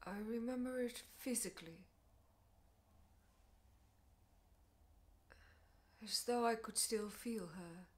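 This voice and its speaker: A woman performing a stage monologue as a grieving, bitter mother confronting her ex-husband, speaking in deliberate, emotionally intense phrases. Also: a little high, natural voice. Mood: hushed, aching.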